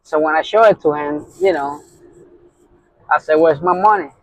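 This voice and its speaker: A man's voice: on the high side, moderate pace, dry recording, poor audio. A middle-aged man with a Puerto Rican accent, a truck driver telling a heated story in a casual vlog, with constant fillers. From New York. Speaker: owner-operator truck driver.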